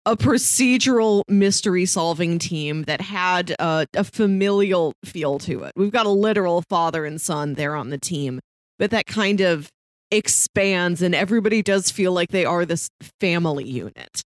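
A woman's voice: fairly low pitch, moderate pace, no echo, studio quality. A woman co-hosting a podcast, talking casually in a conversational review and recap style. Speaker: podcast co-host.

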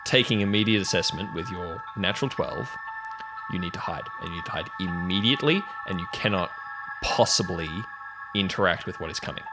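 A man narrating a tabletop roleplaying game as game master, telling the story suspensefully in the second person with deliberate pauses. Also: sits low, rough recording. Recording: prominent music under the voice. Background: music.

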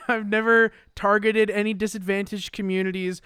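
A man's voice: high-pitched, flat delivery, moderate pace, smooth, dry recording, studio quality. A man playing a self-righteous police officer in an improv scene, barking orders and praising himself. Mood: pompous. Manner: shouting orders, then pompous self-praise.

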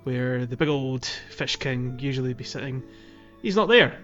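A man's voice: medium pitch, lively intonation, moderate pace, fairly bright tone, dull audio. Casual male podcast host over music. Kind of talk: casual podcast playthrough recap of a video game.

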